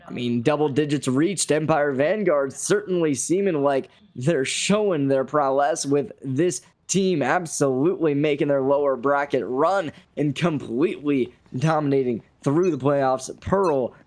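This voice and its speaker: A man giving live, excitable play-by-play commentary on an esports match with dramatic calls. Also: fairly high pitch, unhurried, few pauses.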